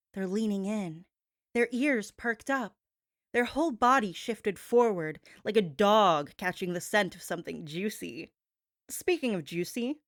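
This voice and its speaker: A woman playing a sassy, teasing radio gossip host, speaking in a dramatic, conspiratorial and coaxing way, with drawn-out emphasis that turns hypnotic. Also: medium pitch, no room sound, studio quality, lightly acted. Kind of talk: talk-show gossip monologue blended with hypnosis induction.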